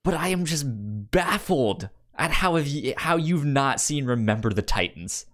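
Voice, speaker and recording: A man co-hosting a podcast in casual conversation, speaking haltingly and often repeating or tripping over words. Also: medium pitch, expressive, a bit bright. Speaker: podcast co-host. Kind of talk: casual chat.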